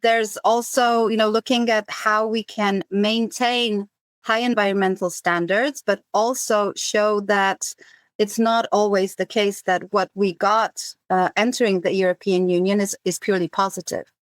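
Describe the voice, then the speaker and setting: A woman's voice: medium pitch, fairly even intonation, measured pace, dry recording. Thoughtful woman guest, dry close sound. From Zambia. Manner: thoughtful, many fillers and restarts. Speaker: podcast guest, campaign coordinator. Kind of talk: conversational interview answers.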